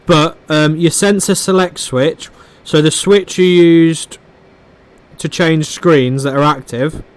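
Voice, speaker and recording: A man's voice: on the high side, fairly even intonation, slow, smooth. A man on a headset, casually teaching flight-sim controls step by step, his throat getting sore. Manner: informal, explains controls step by step, says mate. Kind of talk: casual gaming chat.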